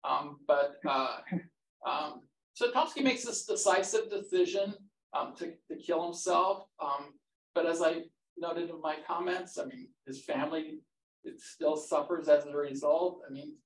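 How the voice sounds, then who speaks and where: Man, author, academic roundtable, hesitant, many fillers, distant mic, roomy echo, faint background noise. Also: a little high. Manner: halting, many fillers and restarts. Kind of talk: academic discussion. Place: conference room with audience. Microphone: far room mic.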